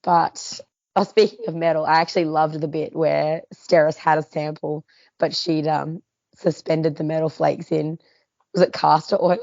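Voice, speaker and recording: A woman's voice: low-pitched, level tone, moderate pace, creaky, a little mellow, no room sound, muffled recording. A woman co-hosting a podcast, chatting casually about a book and laughing often. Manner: chatty, laughs often, trails off mid-thought. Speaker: podcast co-host. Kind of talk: casual book club chat.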